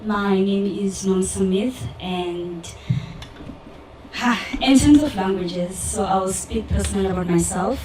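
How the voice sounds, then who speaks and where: A young woman from South Africa speaking candidly and earnestly at a community discussion, pausing with fillers. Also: medium pitch, noisy background. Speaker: audience member in a community dialogue. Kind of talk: personal public discussion. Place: event hall with audience. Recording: roomy, distant mic in a hall.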